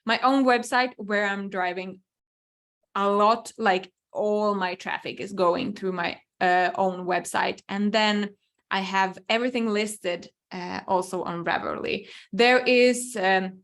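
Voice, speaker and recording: A young woman, speaking English as an at a slow, easy pace. She answers viewer questions through a computer mic, pausing to think aloud with frequent ums and small restarts. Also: mid-range voice, fairly even intonation, a bit bright. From Finland. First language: Swedish. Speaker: webinar host, crochet designer. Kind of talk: live webinar Q&A.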